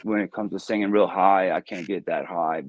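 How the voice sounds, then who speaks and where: A man with a deep voice, a music artist answering interview questions in a loose, easygoing way and laughing often. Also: fairly even intonation, normal speed.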